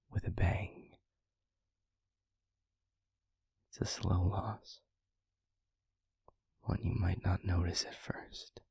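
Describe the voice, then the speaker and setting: A man acts out a gentle, regretful male demon in an intimate audio roleplay. His low voice drops into a breathy, husky whisper. He speaks very slowly and reflectively, pausing between phrases. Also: no room sound, heavily acted. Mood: tender, earnest. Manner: slow, halting, reflective delivery. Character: introspective, tender male demon, once arrogant, now gently regretful.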